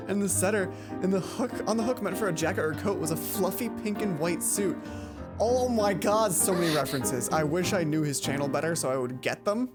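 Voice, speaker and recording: A woman's voice: on the low side, fairly quick, over loud music. A woman hosting a let's play, reading a visual novel aloud with casual commentary and acting out the dialogue. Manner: animated, acts out dialogue.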